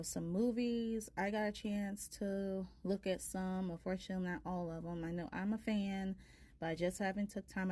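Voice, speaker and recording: Woman, thirties, soft-spoken, rambling chat, slightly low pitch. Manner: rambling, many fillers. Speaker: tarot reader, channel host. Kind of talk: casual chatty talk to viewers.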